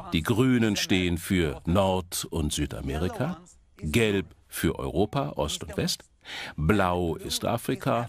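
A man giving an explanatory, emphatic documentary lecture. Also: deep voice. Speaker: presenter lecturing with statistics. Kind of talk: documentary lecture voice-over in German dub.

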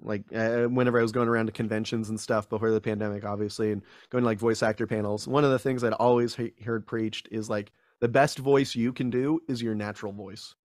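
A man's voice: lowish voice, fairly even intonation, a little fast, few pauses, no room sound. A young man talks through a studio mic on a video call from a small room at home. He is chatty and self-deprecating, rambling with restarts. The sound is dry and close. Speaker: guest voice actor. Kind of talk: casual interview chat.

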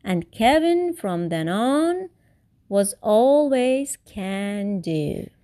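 Slow, lilting woman reading a picture book. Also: mid-range voice, big pitch swings, warm tone. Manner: deliberate, theatrical emphasis on key words. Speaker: storybook reader. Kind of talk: expressive read-aloud of a children's picture book.

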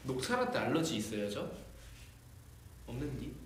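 A man's voice: medium pitch, very animated, normal speed. A young man chatting casually on a livestream from a decorated room, musing aloud, answering fan comments and laughing often. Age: young adult. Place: decorated room, indoors, at a table. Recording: very echoey room, faint background hiss.

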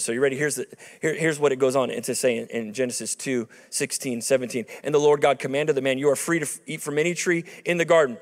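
A man in his thirties preaching a chapel sermon into a handheld mic, conversational with emphatic peaks. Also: mid-range voice. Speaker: chapel speaker. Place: large dark auditorium stage at a lectern. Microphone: handheld microphone. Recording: long reverb of a large hall.

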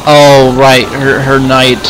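A man improvising in-character chat in a video game roleplay, halting and often repeating words. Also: mid-range voice, completely flat delivery, music in the background, poor audio. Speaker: host and player of an online roleplay series.

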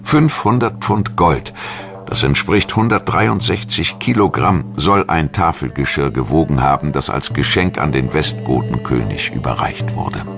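A man's voice: a bass voice, animated, unhurried, gravelly. A man reading scripted documentary narration as the narrator. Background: background music.

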